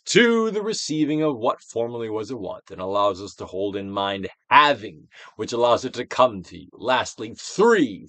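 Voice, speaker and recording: A man reads a self-help audiobook as a dramatic actor would. His mid-pitched voice ranges widely, with emphatic declarations set against hushed phrases. The sound is dry, with very little room in it. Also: creaky, no room sound. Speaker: actor reading a book aloud.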